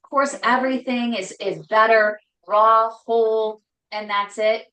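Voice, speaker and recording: A woman hosting a casual live cooking show from her home kitchen, talking loudly and emphatically with a voice that carries. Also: fairly high pitch, steady tone, a little slow, echoey room, poor audio. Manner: loud voice that carries, chatty and emphatic. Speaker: host cooking on a live stream.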